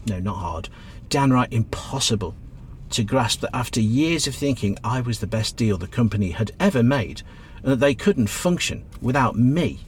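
Man acting kind british taxi driver in a radio play, middle-aged, reassuring, voice moves up and down a lot, faint rumble. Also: animated, normal speed, natural voice. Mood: sympathetic. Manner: hesitant, reassuring, plain-spoken. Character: kindly, encouraging male taxi driver.

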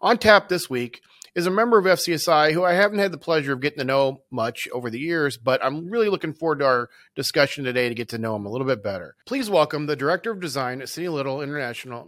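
A man hosting an interview podcast, asking relaxed, friendly questions with some fillers. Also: a little high, quick pace, dry recording, clean studio sound. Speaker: podcast host.

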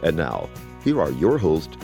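A man's voice: very deep voice, huge pitch swings, a little slow, vocal fry, mellow. A man reading a scripted podcast introduction in an announcing manner. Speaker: show announcer. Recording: music bed under the voice.

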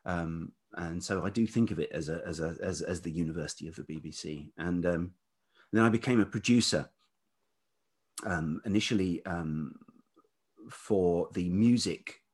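A middle-aged man from the UK speaks with a very low, husky voice. He tells long stories from his working life, stopping often to restart his sentences. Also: a little mellow. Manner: halting, many ums and restarts. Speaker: podcast guest, writer and producer. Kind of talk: relaxed storytelling interview.

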